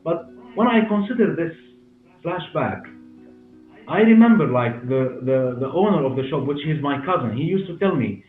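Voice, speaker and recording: A man's voice: fairly high pitch, animated. Syrian man in his forties, roomy muffled recording. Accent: Syrian Arabic. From Damascus, Syria. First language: Arabic. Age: 40s. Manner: repeats words, halting non-native English phrasing. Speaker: hair clinic director and interview guest. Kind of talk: conversational interview answers. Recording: roomy, muffled, narrow sound with music behind.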